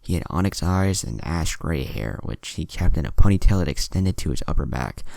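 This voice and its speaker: A man's voice: a bass voice, animated, normal speed, gravelly, a little mellow. A man narrating a scripted fan fiction story, with music playing behind him. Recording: music under the voice. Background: background music.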